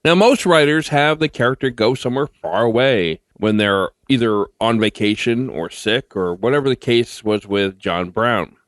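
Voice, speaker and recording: A man's voice: mid-range voice, lively intonation, normal speed, few pauses, no echo, studio quality. Male podcast host reading scripted outros, mid-range voice with very varied melody.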